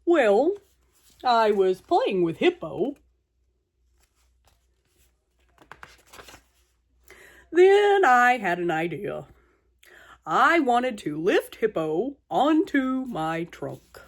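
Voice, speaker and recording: A woman voicing an earnest male elephant in a storybook, telling his woes in a deliberate, drawn-out, dramatic way. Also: fairly high pitch, big pitch swings, heavily acted. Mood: rueful.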